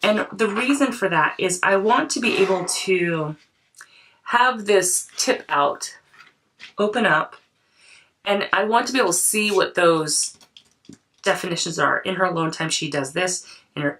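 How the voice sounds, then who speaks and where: Woman vlogger, lowish voice, a bit off-mic, cold in her voice, chatty crafting talk. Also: unhurried, fairly bright tone, recorded from a distance. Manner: chatty, thinks aloud, frequent restarts. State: voice affected by a cold. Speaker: creativity coach. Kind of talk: casual crafting vlog.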